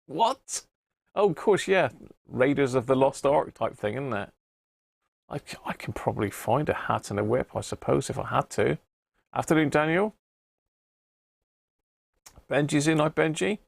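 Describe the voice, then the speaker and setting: A man hosting a casual live stream about radio repairs, croaky today, chatting and greeting viewers by name. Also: mid-range voice, lively intonation, moderate pace, long pauses, no room sound, clean studio sound. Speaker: radio repair livestream host.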